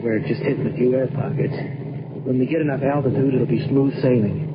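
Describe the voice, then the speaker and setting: A man's voice: medium pitch, a little fast, lightly acted. Actor as determined, coaxing man in love. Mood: reassuring. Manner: urgent, coaxing, confident. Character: determined, reassuring man eloping with his sweetheart. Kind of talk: 1970s radio drama acting. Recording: dull, noisy old radio recording.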